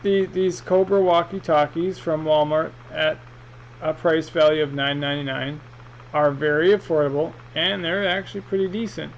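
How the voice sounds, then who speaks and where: A man's voice: a little high, fairly even intonation, normal speed. A man giving a casual, rambling, hesitant product review in an unboxing vlog, speaking off the cuff. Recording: muffled, with clear background noise.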